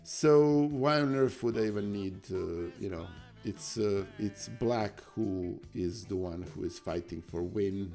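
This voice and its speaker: This is a man with a deep, gravelly, raspy voice who talks through chess games on a live stream. He speaks slowly and haltingly, with plenty of "uh"s and restarts, while faint music plays behind him. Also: warm tone. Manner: halting, many fillers and restarts. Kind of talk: casual live-stream commentary.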